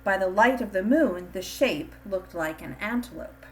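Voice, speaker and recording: A woman reading a scripted children's storybook in an expressive, deliberate storytelling manner. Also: mid-range voice, slight background noise. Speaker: storybook reader.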